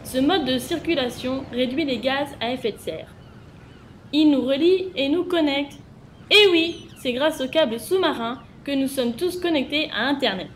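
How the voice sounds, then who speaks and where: Woman, high pitch, scripted children's educational narration, direct address to viewers, faint background noise. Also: normal speed.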